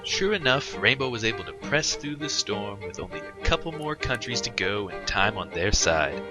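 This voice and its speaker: A man's voice: lowish voice, big pitch swings, normal speed. A man co-hosting and narrating a fan fiction story in a read-aloud style. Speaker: co-host, narrator. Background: loud background music.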